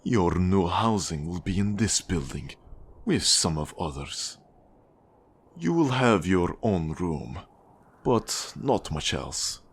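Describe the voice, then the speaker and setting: An actor playing a cold, menacing Russian mafia boss in an ASMR roleplay, with a Russian accent and a deliberate, condescending manner. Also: male voice, low-pitched, sing-song, gravelly, breathy edge, heavily acted. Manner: slow, deliberate, controlling, condescending. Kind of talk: ASMR roleplay monologue addressed to the listener.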